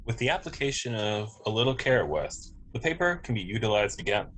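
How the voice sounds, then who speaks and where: A man playing a polite, precise, emotionless android officer in a table read, speaking in formal, measured phrases. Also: lowish voice, very animated, fairly bright tone, poor audio. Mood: polite, matter-of-fact. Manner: formal, measured, literal wording. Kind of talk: amateur table read of a sci-fi script.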